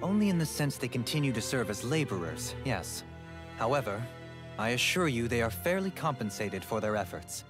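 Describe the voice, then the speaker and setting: An actor voicing a courteous, self-assured lord in a video game, speaking formally and eloquently in measured phrases. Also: male voice, mid-range voice, big pitch swings, close to an everyday voice. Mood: smooth, persuasive. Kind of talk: video game cutscene acting. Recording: loud music behind the voice. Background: music.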